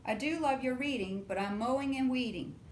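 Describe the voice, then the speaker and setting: A woman voicing a busy, kindly parent gently putting off a child in a picture book read-aloud. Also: high-pitched, a little fast, lightly acted. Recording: roomy echo with background noise.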